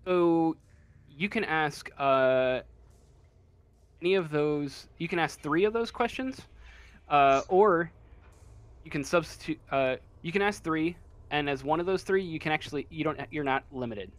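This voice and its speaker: A man narrating as game master of a tabletop game, improvising in a hesitant, halting way. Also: on the high side, dry recording.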